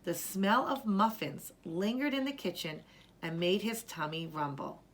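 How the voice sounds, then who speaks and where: Female children's book narrator: animated melody, medium register, deliberate storytelling read. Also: mid-range voice.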